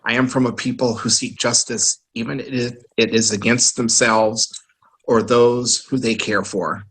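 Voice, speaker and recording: A man reciting a poem slowly and deliberately. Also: medium pitch, fairly even intonation, a bit bright, slightly rough.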